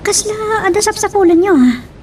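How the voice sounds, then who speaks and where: A woman's voice: very high-pitched, lively intonation, moderate pace, darkish tone, far from the mic, a little hiss or hum. A woman with an Ilocano accent playing a watchful, questioning daughter in a radio drama. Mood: inquisitive, guarded.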